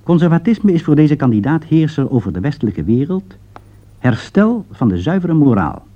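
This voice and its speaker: A man's voice: a little high, big pitch swings, moderate pace, warm tone, highs cut off. A man reading a scripted political commentary in a formal, emphatic, rhetorical manner. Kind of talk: scripted broadcast commentary read aloud.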